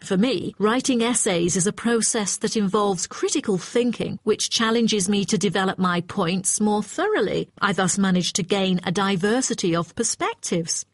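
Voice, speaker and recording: A woman's voice: medium pitch, moderate pace, hardly any pauses, no room sound, close to an everyday voice. A woman plays a young female nursing student in a scripted exam dialogue. She comes across as conscientious and assertive, with a mid-range voice in a very dry recording.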